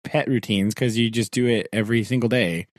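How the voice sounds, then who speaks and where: A man's voice: lowish voice, fairly even intonation, brisk, dry recording, clean studio sound. A man, a teacher co-hosting a podcast, chatting casually, laughing often and acting out his own thoughts. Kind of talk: casual chat. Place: home.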